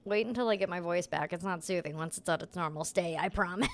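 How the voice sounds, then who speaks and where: A young woman with a hoarse, soft voice she cannot project, chatting casually while playing a game and rambling through explanations. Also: lowish voice, quick pace. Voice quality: soft, unprojected voice. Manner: rambling, explains with many "like"s and "right?". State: hoarse, losing her voice. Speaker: voice actor streaming gameplay. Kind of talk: casual gameplay chat.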